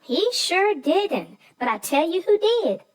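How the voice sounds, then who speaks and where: High-pitched woman co-hosting story chat. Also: very animated, slow. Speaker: co-host. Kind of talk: casual chat about a children's story.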